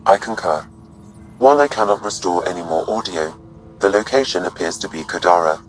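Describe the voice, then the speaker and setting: An actor voicing a calm, formal male ship AI in a video game, speaking in measured, precise sentences. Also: low voice, a little hiss or hum, lightly acted, low-quality recording. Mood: matter-of-fact.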